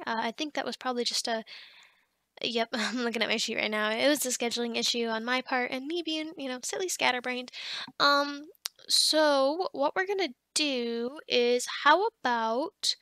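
A woman's voice: high voice, sharp tone, dry recording, full character voice. A woman acting a friendly, scatterbrained office boss in a conversational ASMR roleplay, chatty, bubbly and encouraging. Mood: friendly, flustered. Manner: bubbly, chatty, with giggles and hesitations.

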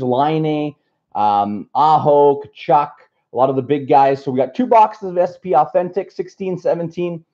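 A man from Canada hosting a live card break from a table indoors, upbeat and slightly raspy from being unwell. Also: a little high, mellow, muffled recording. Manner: upbeat, reads off a list of names. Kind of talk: casual live stream chat.

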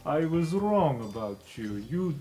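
Casting: male player as honourable elephant warrior, formal and slow-spoken, tabletop fantasy, over background music. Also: on the high side, very animated, mellow. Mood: proud, conciliatory. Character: proud, formal elephant-folk warrior guard, earnest and honourable.

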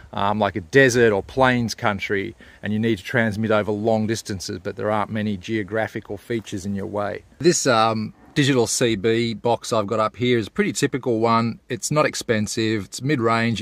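A man's voice: fairly low pitch, gravelly, dry recording. A man, giving a casual, plain-spoken explainer as a vlogger. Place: inside a parked four-wheel drive and outdoors at a bush campsite.

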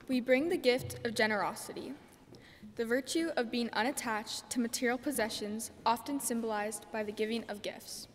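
A woman's voice: a little high, moderate pace. Teenage girl, roomy church sound. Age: teenager, about 14. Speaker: graduating student speaker. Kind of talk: reading a prepared ceremony speech. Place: large church, indoors. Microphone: podium microphone. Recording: church reverb, faint room noise.

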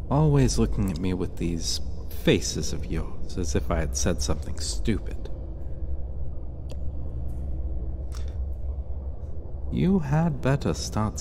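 A cocky vampire captor, acted by a man, speaks in a low voice with very lively swings of pitch. He is possessive and menacing, taunting and commanding in a slow dark fantasy ASMR roleplay. Clear ambient noise runs behind him. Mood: teasing, coaxing.

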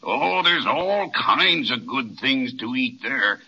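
Fee-Fo the gentle giant, rumbles along slowly with a bright, slightly husky tone and lively swings of pitch. The voice is a kindly 1930s radio-drama giant. It comes through a muffled old transcription recording. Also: male voice, heavily acted. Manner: slow, warm, drawn-out giant voice. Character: gentle kindly male giant, slow and friendly.

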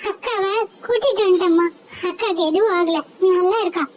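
A woman's voice: very high-pitched, a little fast, lo-fi sound, very noisy. A woman voicing a worried, scolding mother sparrow in a cartoon. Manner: scolding, anxious. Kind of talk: cartoon voice acting.

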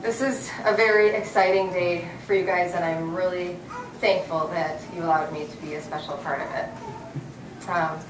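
A woman's voice: fairly low pitch, steady tone, normal speed. An American woman in her forties delivering a keynote commencement speech from a podium. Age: 40s. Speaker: keynote speaker. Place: hotel hall, at a podium on stage. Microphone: podium mic, captured by far audience camera. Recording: roomy, distant, noisy auditorium recording.

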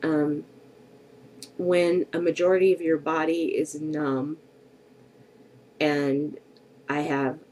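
Middle-aged woman vlogger, stuffy from a cold, unhurried and frank, faint room hiss on a webcam mic. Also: deep voice, level tone, a little mellow, low-quality recording. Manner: slow, candid and reflective, with frequent hesitations. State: congested from a cold. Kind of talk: personal vlog to camera. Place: bedroom at home, indoors. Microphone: webcam at desk distance.

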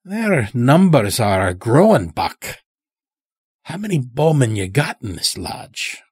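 A man's voice: medium pitch, big pitch swings, slow, a little mellow, husky, dry recording, clean studio sound, pitched above the performer's own voice, exaggerated voice. A man voicing a sly, weary old stranger in an audio story, with a folksy, rambling way of talking. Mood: sly, needling. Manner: folksy, rambling, dropped words.

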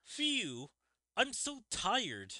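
A man's voice: sits high, huge pitch swings, drawn-out words, pushed and bright, dry recording, clean studio sound, voice pushed up, heavily acted. A man voicing a weary, anxious young male hero from a fantasy game, reading the dialogue aloud.

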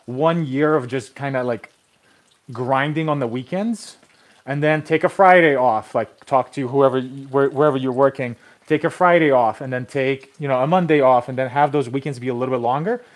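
A man's voice: medium pitch, moderate pace. Chatty man talking while he tiles. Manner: loose and rambling, many restarts and "like" fillers. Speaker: tile installer streaming his work. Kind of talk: casual live-stream how-to chat. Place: bathroom renovation site and snowy driveway.

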